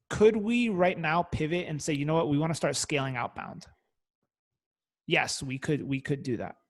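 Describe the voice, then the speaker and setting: A young man in casual chat, talking loosely with frequent swearing, fillers and restarts. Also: on the high side. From Italian family, parents from Naples. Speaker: guest marketer.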